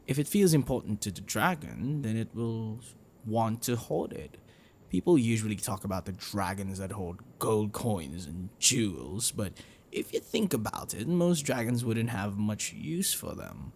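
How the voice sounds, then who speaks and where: A man voicing an affectionate, protective, bashful dragon boyfriend in a soft, breathy, hesitant ASMR roleplay. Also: fairly low pitch, sing-song, measured pace, slight background noise, exaggerated voice. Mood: patient, explaining.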